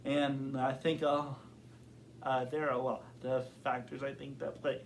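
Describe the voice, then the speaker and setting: Slow-talking young man who stutters. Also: medium pitch, little pitch movement, vocal fry, a little hiss or hum. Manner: stutters, with many fillers and repeated words; thoughtful, open. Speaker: interviewee. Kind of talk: casual interview.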